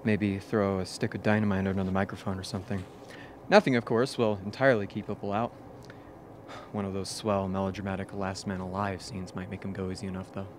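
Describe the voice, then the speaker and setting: Radio drama crewman, male actor: blunt, wry, breezy, on the low side, faint background noise. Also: little pitch movement, brisk, close to an everyday voice. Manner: sardonic, breezy. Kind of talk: radio play acting.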